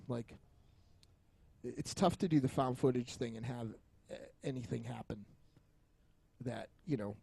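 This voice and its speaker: A man rambles through casual podcast chat with a joking, foul-mouthed manner. His voice is dark and relaxed with plenty of creak, and he sounds close and dry. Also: mid-range voice, no echo. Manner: profane, joking. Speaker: podcast co-host.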